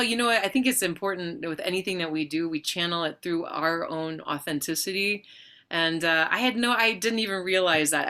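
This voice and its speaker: A woman's voice: lowish voice, bright, forceful tone. A woman hosting a casual interview, bubbly and effusive, laughing often and gushing over her guest. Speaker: host. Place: video call livestream.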